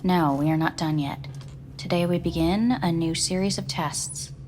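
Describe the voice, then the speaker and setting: A woman's voice: lowish voice, normal speed, darkish tone, slight background noise, lightly acted. A woman playing a detached clinical examiner in a video game, giving clipped, procedural instructions. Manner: clipped, procedural, impersonal delivery. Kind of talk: scripted video game acting.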